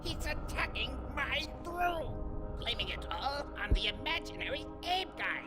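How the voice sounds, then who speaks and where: A woman voicing an arrogant, paranoid villain in an animated game, haughty, theatrical and scornful. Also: sits high, measured pace. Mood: outraged, scornful. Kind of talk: animated game cutscene acting. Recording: loud cinematic music under dialogue.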